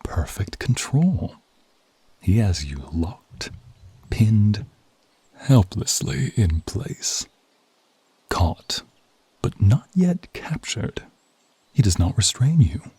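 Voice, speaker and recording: A man's voice: fairly low pitch, big pitch swings, very slow, pauses often, mellow, slightly rough, dry recording. A man narrating a scripted ASMR roleplay in the second person, dramatic and intimate, often dropping toward a whisper.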